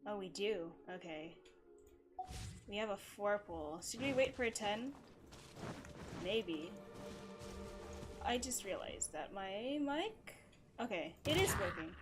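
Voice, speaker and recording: A woman streams a game with loud game music behind her. She talks in an unhurried, casual way, drifting into mumbled asides and small exclamations. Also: mid-range voice. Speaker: live streamer playing a video game. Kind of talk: casual chat while gaming. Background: video game music and sounds.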